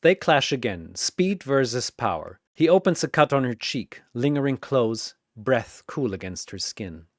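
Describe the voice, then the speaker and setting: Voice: medium pitch, big pitch swings, a little slow, few pauses, no echo, studio quality. A narrator reading scripted fiction in a dramatic storytelling style.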